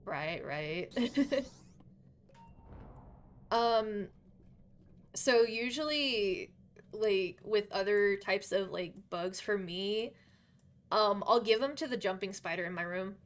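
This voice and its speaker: A woman's voice: mid-range voice, takes it slowly, long pauses. A young woman streaming live while gaming, chatting in a rambling, giggly way, her voice a bit worn from losing it lately. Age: young adult. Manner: rambling and giggly, full of fillers. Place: her bedroom.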